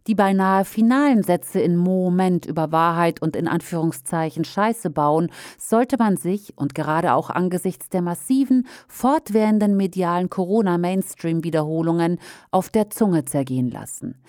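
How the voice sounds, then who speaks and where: Woman reading a sharp commentary, dry. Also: lowish voice, lively intonation, no room sound. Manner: critical, pointed, measured delivery. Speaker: reader of an opinion commentary. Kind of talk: read-aloud opinion commentary.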